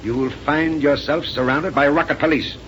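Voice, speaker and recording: An actor playing a smooth, menacing, condescending villain in a 1930s science-fiction radio serial, mocking and theatrical. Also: male voice, a little high, steady tone, normal speed, a bit bright, close to an everyday voice. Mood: mocking, accusing. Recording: noisy vintage 1930s radio recording.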